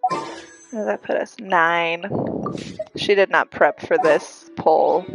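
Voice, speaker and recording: A woman's voice: on the low side, slow pace, vocal fry, poor audio. A congested woman chatting casually and wryly to viewers as she live-streams a game. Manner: playful, wry, chatty asides to viewers. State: congested, breathing heavily. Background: game music.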